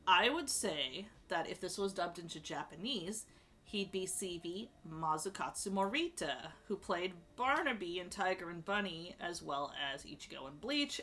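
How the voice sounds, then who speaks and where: A woman chatting casually as she ranks characters, rambling with lots of fillers and restarts and sudden bursts of excitement. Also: mid-range voice. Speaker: streamer ranking show characters.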